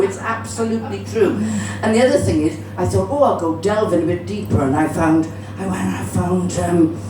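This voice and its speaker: Bawdy Cardiff woman doing stand-up, echoey room. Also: lowish voice. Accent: Cardiff Welsh. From Cardiff, Wales. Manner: bawdy, chatty, swears freely. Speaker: stand-up comedian. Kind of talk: live stand-up comedy to an audience. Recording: roomy, distant, noisy live venue recording.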